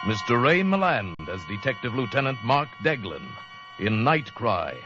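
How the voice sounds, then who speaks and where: A man's voice: medium pitch, big pitch swings, normal speed. Formal male announcer on muffled old radio. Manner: formal, dramatic. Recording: muffled old radio broadcast, music behind.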